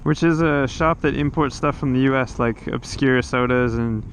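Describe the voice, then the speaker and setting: An easygoing American man talks through his ride in a loose, musing way, with plenty of fillers and asides. His voice sits at an ordinary pitch. Road and outdoor noise runs clearly underneath him. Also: moderate pace, low-quality recording. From United States. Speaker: motovlogger host. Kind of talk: casual vlog chat while riding. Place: outdoors on an electric motorcycle.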